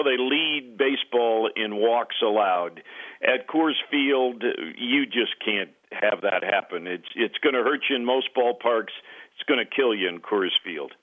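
A male radio broadcaster giving sports analysis as an interview guest, haltingly and with repeated words. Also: medium pitch. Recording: tinny, narrow phone-like line.

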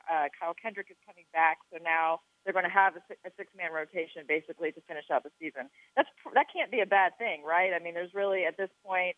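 A woman's voice: on the low side, no room sound. A woman hosting a conversational sports interview, putting questions and comments to a guest. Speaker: host, interviewer. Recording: tinny, band-limited phone-like sound.

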